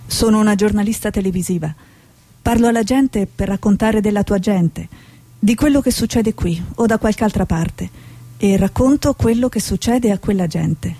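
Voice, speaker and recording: An actress playing an earnest, idealistic female war reporter, speaking in a measured, reflective, theatrical way. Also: mid-range voice, dark tone, no echo, natural voice. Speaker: stage actor. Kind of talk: stage drama, dialogue and reflective monologue.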